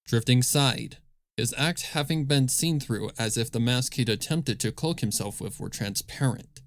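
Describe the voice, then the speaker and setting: A man's voice: medium pitch, a little slow, dry recording, studio quality. A man reading scripted fan fiction as the narrator of a story.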